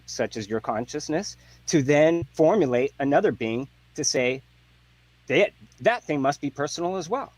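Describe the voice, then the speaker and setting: Fifty-year-old man in a debate, frustrated and rambling, mid pitch, dry sound. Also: no echo, poor audio. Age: 50s. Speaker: debate guest. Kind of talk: heated debate.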